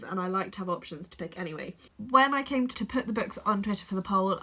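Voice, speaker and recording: A young woman sounding blocked up and snuffly from a bad cold. She chats at an easy pace in a mid-pitched voice, rambling and correcting herself as she goes. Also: a bit bright. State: congested with a head cold, snuffly. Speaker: book vlogger. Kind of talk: casual talk to camera.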